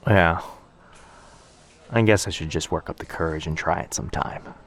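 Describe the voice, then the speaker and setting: A man playing a shy, sweet, anxious young stranger in a boyfriend ASMR roleplay, speaking hesitantly, softly and earnestly. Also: sits low, normal speed. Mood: self-doubting. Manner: hesitant, soft-spoken, earnest, self-doubting.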